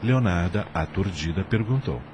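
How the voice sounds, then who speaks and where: A man narrating an audio drama from a script in an expressive, literary storytelling manner. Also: sits low, normal speed, noisy background.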